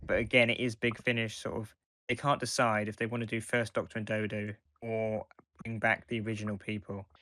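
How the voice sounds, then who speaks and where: A man co-hosting a casual fan podcast, talking in a halting, hesitant way as he reviews. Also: lowish voice, level tone, fairly bright tone, no room sound. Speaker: podcast co-host.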